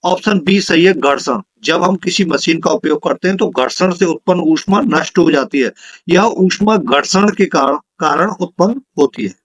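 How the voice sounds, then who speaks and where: High-pitched man teaching. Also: normal speed, breathy edge, dry recording, poor audio. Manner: instructive, repeats questions and answers. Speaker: physics teacher for exam preparation. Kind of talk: exam question-and-answer lesson.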